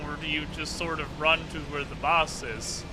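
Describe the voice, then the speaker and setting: A man's voice: fairly high pitch, little pitch movement, normal speed, noisy background. A man giving casual, joking gaming commentary as a streamer, with some swearing. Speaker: game streamer. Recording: music behind the voice. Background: game music.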